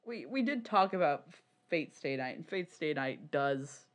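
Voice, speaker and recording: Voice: medium pitch, expressive, unhurried. A podcast co-host in loose, casual conversation. The delivery rambles and leans hard on words for emphasis, with swearing dropped in freely and opinions stated bluntly. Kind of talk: casual, opinionated podcast chat about niche fan media.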